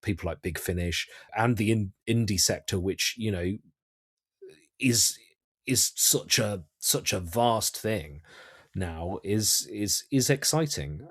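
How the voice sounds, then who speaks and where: A British man giving conversational interview answers as a guest writer-director, often halting and repeating words as he thinks. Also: fairly low pitch, animated, husky, dry recording. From United Kingdom.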